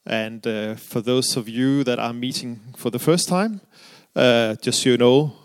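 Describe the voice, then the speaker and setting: A man's voice: medium pitch, a little slow, smooth. A middle-aged man from Denmark with a Danish accent, preaching earnestly with frequent fillers and restarts. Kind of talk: sermon.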